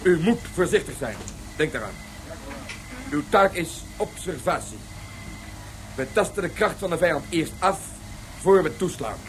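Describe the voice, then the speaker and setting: Patrol commander for radio drama: high, commanding, declamatory, faint noise behind. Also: male voice, animated, measured pace, long pauses, poor audio. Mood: rallying, solemn. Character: stern male patrol commander rallying his troops. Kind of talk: Dutch radio drama acting.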